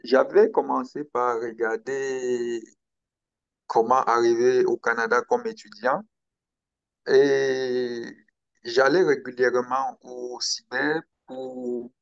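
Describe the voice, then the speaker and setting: A young man from Cameroon, speaking slowly and hesitantly as an interview guest about his own story. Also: mid-range voice, steady tone, gravelly, no echo, highs cut off. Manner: slow, hesitant, with pauses and restarts. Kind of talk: interview, personal account.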